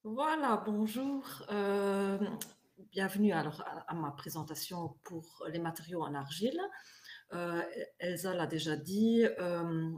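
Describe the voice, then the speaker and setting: A woman's voice: lowish voice, slow pace, a little mellow. A woman whose first language is German, speaking French with a strong German accent, presenting a live webinar on clay materials with many hesitant fillers. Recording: echoey room.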